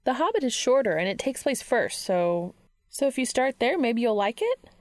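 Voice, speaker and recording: An eager, kind teenage girl from an audiobook, acted out by a woman narrator. She is chatty, bookish and upbeat, pitched high, with a dry, close sound. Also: big pitch swings, normal speed, darkish tone, no echo. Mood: bright, eager. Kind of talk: audiobook character dialogue.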